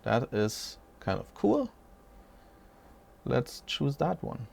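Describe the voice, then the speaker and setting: Man, 40s, gravelly, slow-paced, rambling and self-correcting, casual tech host, small home room. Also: medium pitch, huge pitch swings, a little mellow. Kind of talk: unscripted casual tech walkthrough.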